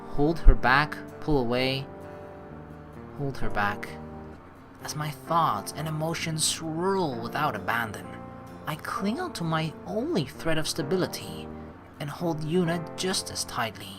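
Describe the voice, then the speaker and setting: Low-voiced, expressive woman narrating a game. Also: unhurried. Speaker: let's play host. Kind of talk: expressive read-aloud narration of a visual novel. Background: game music.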